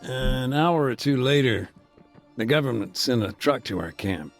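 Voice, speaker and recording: An actor playing a weary, earnest humanitarian worker recounting a war in a measured, hesitant way for a video game. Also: male voice, medium pitch, animated, soft background music. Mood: somber, weary. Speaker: humanitarian aid worker being interviewed. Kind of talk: naturalistic video game dialogue, interview recollection.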